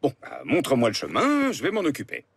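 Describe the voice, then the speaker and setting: Flustered lawyer with swooping intonation. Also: male voice, a little high, wildly swooping pitch, a little fast. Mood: polite. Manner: formal, hesitant, protesting. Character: polite, flustered male lawyer, easily indignant. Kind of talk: French animated series dubbing.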